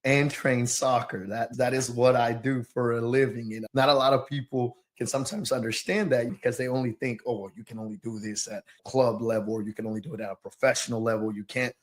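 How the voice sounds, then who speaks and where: A man's voice: medium pitch, steady tone, brisk, few pauses. A man from southern Mexico telling his personal story as a podcast guest, reflective, a little nervous and often searching for words. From Oaxaca, southern Mexico. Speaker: guest, youth soccer coach and business owner.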